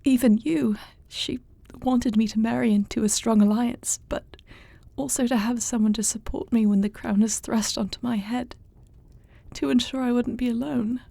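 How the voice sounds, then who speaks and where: A woman acts out a young dragon princess in an intimate audio drama. Her voice is mellow and creaky, held in a narrow, even range. She speaks formally and softly, with the measured gravity of a dutiful, grieving heir. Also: on the high side, no echo. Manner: formal, measured, soft-spoken. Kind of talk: intimate audio roleplay acting.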